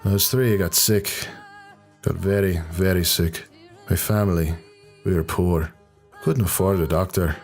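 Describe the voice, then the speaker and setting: Guy doing a deep gruff world weary rebel, blunt and sarcastic, fan audioplay with soft music under it. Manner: sardonic, blunt. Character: gruff, sardonic hard-drinking rebel man, world-weary. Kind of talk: fan audio drama acting.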